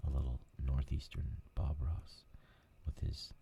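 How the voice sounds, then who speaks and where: Brief: ASMR gaming host, dark low voice, gravelly, soft rambling whisper. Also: male voice, fairly even intonation, a little slow, slight background noise. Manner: rambling, soft, many fillers.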